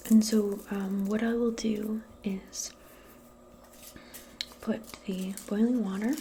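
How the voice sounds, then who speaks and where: A woman murmurs in a soft, breathy voice that often drops to a whisper. Her tone is dark and mellow, and she takes her time. She plays a modern witch in a casual, gentle ASMR personal attention session, with faint ambient noise behind her. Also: medium pitch, level tone, slow. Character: modern witch giving a calming spell appointment. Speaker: ASMR role-play host.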